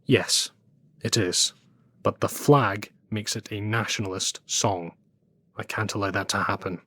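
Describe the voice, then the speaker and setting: An actor playing a controlled, politely threatening Nazi commandant in an audio drama, measured and formal. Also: male voice, on the low side, steady tone, dry recording, clean studio sound, heavily acted. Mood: quietly threatening. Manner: measured, formal, quietly warning.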